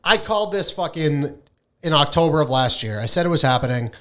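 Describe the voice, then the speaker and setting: A man's voice: on the high side, expressive, fairly quick, a bit bright. A man co-hosting a podcast in casual, irreverent chat, brash and emphatic, swearing freely. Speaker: podcast co-host.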